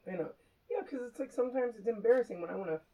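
A woman co-hosting a comedy podcast, a loud talker with a bassy voice and dry, deadpan jokes. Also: rapid-fire, crowd noise, low-quality recording. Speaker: podcast co-host. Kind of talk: casual comedic chat.

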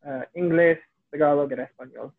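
A young American man talks as a podcast guest over a thin, phone-like line with a dry sound. His voice sits a little high, and he pauses often and stops to search for words. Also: gravelly, dry recording. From United States. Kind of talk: casual conversation.

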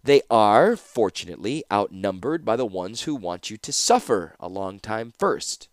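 A man voicing a cruel, breezily sardonic woman torturer in a fantasy audiobook, mocking and calmly menacing. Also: lowish voice, normal speed, dry recording, studio quality.

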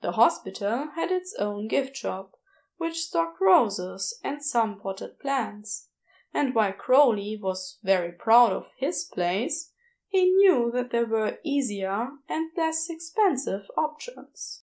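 A woman's voice: a little high, expressive, slow, vocal fry, a little mellow. A woman narrating a scripted story as an audiobook-style reader.

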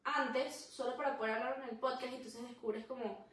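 A woman's voice: a little high, steady tone, brisk, fairly bright tone, distant mic. A woman co-hosting a podcast in casual chat, rambling in long runs and laughing easily. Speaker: podcast co-host. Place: room where the podcast is filmed. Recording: very echoey room, mic set back.

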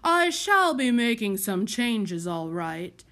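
A woman's voice: on the high side, very animated, takes it slowly, fairly bright tone, exaggerated voice. A woman voicing a pompous, self-important lord in a storybook, blustering with indignation and emphasis. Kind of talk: acted storybook dialogue.

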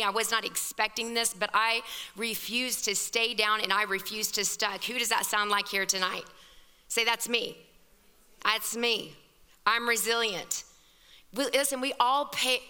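A woman pastor with a scratchy, hoarse voice, preaching a fervent, conversational sermon. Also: on the high side, bright, forceful tone. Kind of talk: sermon at a women's evening service. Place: church hall. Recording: long reverb of a large hall.

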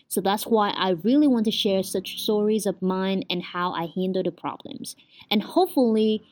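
A woman's voice: mid-range voice, a little mellow. A young woman in her twenties from Vietnam, a native Vietnamese speaker with a Vietnamese accent, hosting her own podcast at home in an earnest, chatty way, often saying "like". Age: 20s. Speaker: podcast host. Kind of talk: casual solo podcast chat.

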